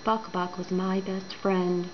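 A woman's voice: lowish voice, flat delivery, slow. A woman voicing a young storybook girl, childlike and emphatic, devoted to her pet. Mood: sad. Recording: dull home recording with noise.

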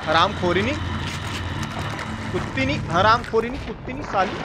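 A man with a Bihari accent giving excited, colloquial gameplay commentary as a live-streamer, repeating himself and exclaiming. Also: high-pitched, moderate pace. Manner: colloquial, repetitive, exclaiming, mock-abusive. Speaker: live-streamer playing a horror game. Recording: noisy stream with game sounds behind.

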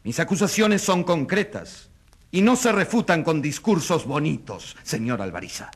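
An actor playing an indignant journalist in a radio drama, accusing with emphatic oratory and rhetorical questions. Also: male voice, on the high side, big pitch swings, moderate pace, slightly rough, heavily acted. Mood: defiant. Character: indignant crusading male journalist acting as accuser. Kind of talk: dramatic radio play acting.

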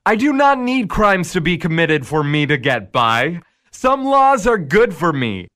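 A smug Devil played by a man in a radio drama, with a fairly high male voice. He is calm and measured, needling and tempting with sardonic deliberation. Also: exaggerated voice. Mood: smug, persuasive.

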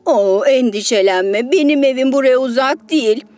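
A woman voicing a sly, hungry fox who feigns friendliness in a coaxing, drawn-out way for a children's story. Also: sits high, a little mellow, faint music behind, close to an everyday voice. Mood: falsely friendly.

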